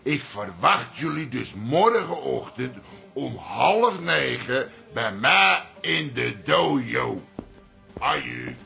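An actor playing a blunt, touchy older martial arts veteran with a gravelly voice, in a radio comedy drama. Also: male voice, medium pitch, very animated, takes it slowly, music in the background, full character voice. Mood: blunt, cocky. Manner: blunt, teasing.

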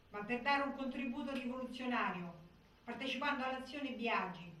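Distant boomy stage recording, a bitter delusional militant woman in jail, sharp voice, declaiming, defiant. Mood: proud, defiant. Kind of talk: theatrical monologue. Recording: echoey stage from far off, faint noise.

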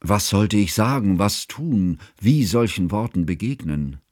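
An actor playing a devoted scholar who narrates a literary radio drama in a measured, formal, solemn manner. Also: male voice, lowish voice, expressive, a little mellow, no room sound, clean studio sound. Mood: troubled, earnest. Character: grave, devoted male scholar narrating his friend's tragedy.

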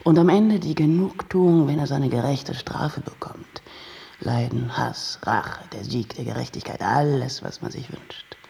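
An actor playing a pushy, grandiose and excitable TV producer in a German radio play. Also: male voice, measured pace, vocal fry. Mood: grandiose pitching. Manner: grandiose sales-pitch talk, emphatic. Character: slick sensationalist TV producer, pushy, easily panicked man.

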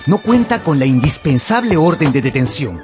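A man's voice: a little high, sing-song, normal speed. A man narrating a radio drama with theatrical, emphatic storytelling. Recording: music behind the voice.

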